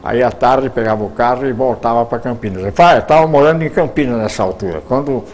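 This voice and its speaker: A man's voice: mid-range voice, fast talker, darkish tone. An older man, a retired radio announcer telling his life story, rambling with frequent restarts.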